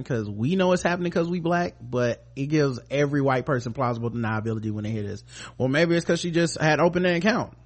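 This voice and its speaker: An American man hosting a podcast, giving casual, comedic news commentary in an irreverent, sarcastic, sweary way. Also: a little high. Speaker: podcast host.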